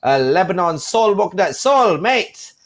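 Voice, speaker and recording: A man's voice: sits high, sing-song, a little slow. A man hosting an online panel show, chatty and joking, often calling his guests mate. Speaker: host.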